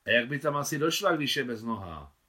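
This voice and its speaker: A man's voice: medium pitch, sing-song, bright, forceful tone, pitched above the performer's own voice. A man doing an eager little village boy. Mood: earnest. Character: shy blond young village boy.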